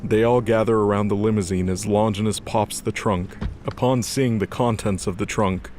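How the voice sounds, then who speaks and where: Man, story narrator, scripted delivery, lowish pitch, faint music behind. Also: steady tone, moderate pace, a little mellow.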